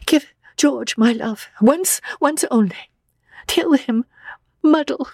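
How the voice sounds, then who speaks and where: A woman voicing a distraught, guilt-torn young woman in a story, with a quavering, halting delivery. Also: on the high side, animated, darkish tone, breathy edge, no echo, voice pushed up. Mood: tearful pleading.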